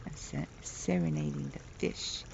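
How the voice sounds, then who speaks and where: A middle-aged woman with a soft voice, telling a playful, chatty vlog story outdoors and laughing at her own jokes. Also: low voice, steady tone, a little slow, gravelly, a little hiss or hum. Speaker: vlogger. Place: outdoors, near the water. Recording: dull lo-fi outdoor recording.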